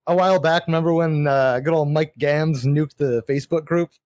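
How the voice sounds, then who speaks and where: A man's voice: on the high side, moderate pace, darkish tone, no room sound. A man in a casual podcast chat, rambling and swearing as he defends his grievances. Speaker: guest.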